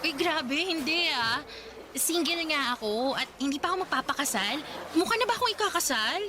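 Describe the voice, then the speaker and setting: A woman's voice: high-pitched, normal speed. An actress playing a young woman working at a call center, bantering in a radio drama. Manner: lively, bantering. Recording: radio drama with background noise.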